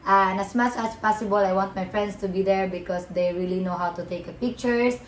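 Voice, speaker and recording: A woman's voice: medium pitch, fairly even intonation, fairly quick, roomy sound, slight background noise. Giggly young woman from the Philippines. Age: 20s. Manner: giggly, non-native phrasing. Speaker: vlogger's partner. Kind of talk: casual to-camera chat.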